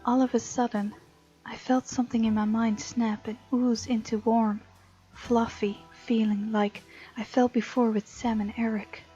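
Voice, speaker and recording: A woman voicing a bold, tender young heroine who narrates a visual novel romance in a breathy, often whispery voice. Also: on the high side, level tone, a little slow, mellow, lightly acted. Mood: intimate, hushed. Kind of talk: hushed dramatic reading of a visual novel. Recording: muffled lo-fi mic, music underneath.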